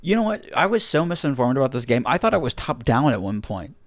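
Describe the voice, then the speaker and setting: A man's voice: medium pitch, big pitch swings, fast talker. A young man hosting a podcast, losing his voice, chatting casually with lots of laughs and fillers. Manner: rambling, laughs often, many fillers. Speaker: podcast host. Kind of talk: casual chat.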